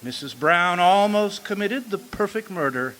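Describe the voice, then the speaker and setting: A man's voice: high voice, animated, measured pace, a bit bright, poor audio. A man playing a folksy homicide sergeant in an amateur radio mystery, matter-of-fact and musing with hems and haws. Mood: explaining. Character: brisk, folksy male police homicide sergeant in charge. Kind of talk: amateur old-time radio detective acting. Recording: light hiss and background noise.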